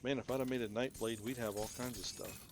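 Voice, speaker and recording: A man's voice: medium pitch, fairly even intonation, fast talker, a little hiss or hum. A man giving casual commentary as he plays through a video game. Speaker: gamer narrating a playthrough.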